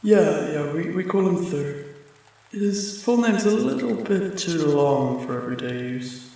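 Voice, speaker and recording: A man playing a friendly, apologetic sleep paralysis demon in an ASMR comfort roleplay, speaking gently and haltingly, with reassurance. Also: a little high, lively intonation, vocal fry. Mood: chatty, fond. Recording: very echoey, distant, rain sounds behind. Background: rain.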